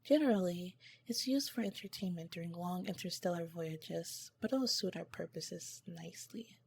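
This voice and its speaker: A woman playing an awkward, earnest female alien scientist in an ASMR roleplay, soft-spoken, with formal, clinical wording and flustered hesitations. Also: on the low side, moderate pace, creaky, background noise. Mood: formal, polite.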